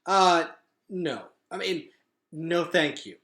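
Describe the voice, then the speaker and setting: A man's voice: fairly high pitch, lively intonation, slow, pushed and bright, echoey room, voice pushed up, full character voice. A man voicing an anxious but polite, stubborn boy who blurts things out in a storybook reading. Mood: nervously polite. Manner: blurting, exasperated, muttering asides.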